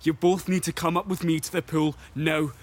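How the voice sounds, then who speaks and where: A man acting in a horror radio play as an agitated, tormented recluse who tends abandoned pigs, speaking urgently and haltingly. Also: on the high side, steady tone, close to an everyday voice.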